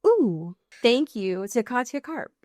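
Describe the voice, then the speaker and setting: An American woman co-hosting a podcast in casual, comedic chat, riffing with mock exasperation and drawn-out emphasis. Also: on the high side, very animated, a little mellow, no echo, studio quality. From US Pacific Northwest. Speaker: podcast co-host.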